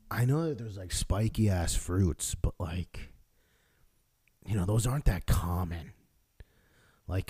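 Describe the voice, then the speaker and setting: A man from Florida who co-hosts a podcast in casual chat, with a raspy, hoarse voice from talking too much and a profane, rambling way of telling stories. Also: sits low, mellow. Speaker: podcast co-host.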